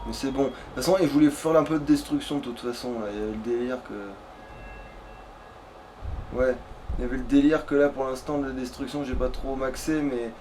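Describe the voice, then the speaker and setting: A man with a mid-pitched voice commentates a video game casually. He rambles, uses slang and swears freely. There is slight noise in the background. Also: fairly even intonation, a little fast, a little mellow, poor audio. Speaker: let's play gamer.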